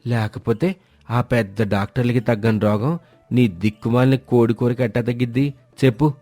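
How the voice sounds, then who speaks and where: A man's voice: mid-range voice, fairly even intonation, moderate pace, dark tone, no room sound, faint music behind, natural voice. A man voicing a village landlord's henchman in a moral story, rustic, agitated, servile and accusing.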